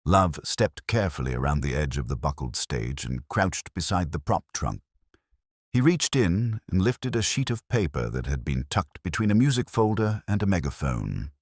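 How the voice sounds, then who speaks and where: Very deep-voiced male mystery narrator. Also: sing-song, no room sound, clean studio sound. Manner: measured, literary storytelling. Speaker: audiobook narrator.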